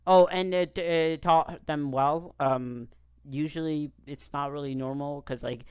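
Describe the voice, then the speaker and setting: A man's voice: a little high, steady tone, measured pace, clean tone, dry recording, muffled recording. A young man commenting casually on a clip, rambling with many fillers and restarts. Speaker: reaction video host.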